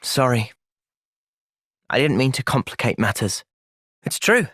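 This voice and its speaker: An actor voicing an earnest, thoughtful young male soldier and leader in a video game, with an English accent and a measured delivery. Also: medium pitch, sing-song, fairly bright tone, slightly rough, no echo, clean studio sound, full character voice. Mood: reflective. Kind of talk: video game cutscene acting.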